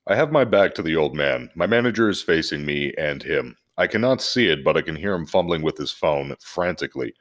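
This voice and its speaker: Male podcast host, deep register, casual and joking, comfortable with profanity. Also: animated, fairly quick, few pauses. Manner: profane, jokey. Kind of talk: casual podcast chat and animated story reading.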